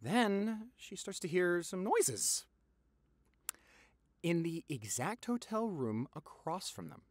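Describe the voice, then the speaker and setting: A man's voice: high-pitched, no room sound, exaggerated voice. Gossipy young-sounding boyfriend, acted by a man, close and dry. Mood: incredulous, dramatic. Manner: animated storytelling, dramatic pauses, repeats for emphasis. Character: popular boyfriend gleefully spilling juicy gossip to partner. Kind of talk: audio roleplay, conversational monologue.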